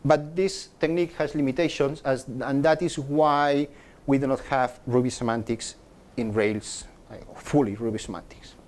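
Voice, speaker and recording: A man's voice: medium pitch, steady tone, normal speed, darkish tone. Man giving a tech talk. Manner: repeats words and restarts often while explaining. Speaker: conference speaker. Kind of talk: technical talk with slides. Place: meetup room with projector screen.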